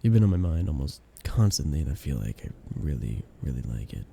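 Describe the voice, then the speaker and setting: Romance ASMR roleplay: shy, tender best friend in love, played by a man, gravelly and lively in pitch, hesitant delivery. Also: a little fast, full character voice. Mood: tender, earnest.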